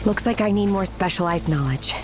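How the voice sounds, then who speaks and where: An actress playing an eccentric young woman engineer obsessed with machines, talking in an excited, dreamy way, in game audio under loud music. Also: mid-range voice, fairly quick, lightly acted.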